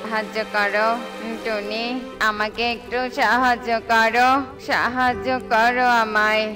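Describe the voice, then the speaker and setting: A woman's voice: a little high, little pitch movement, measured pace, music in the background, natural voice. A woman voicing a helpless frog in a children's cartoon, begging in an imploring way. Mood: pleading. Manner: pleading, imploring. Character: helpless, pleading stranded frog.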